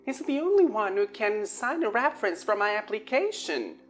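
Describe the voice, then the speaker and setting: A woman voicing a dramatic, flustered teenage girl witch who talks in a high-strung, theatrical way. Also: sing-song, moderate pace, lightly acted.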